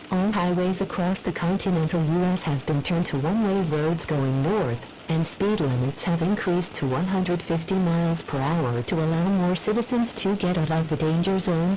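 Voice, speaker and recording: A deep, dark voice gives a solemn official address , acted by a woman. The weather chief is formal and measured, with faint noise behind the scripted speech. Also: close to an everyday voice, low-quality recording. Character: solemn female weather service chief addressing citizens in catastrophe.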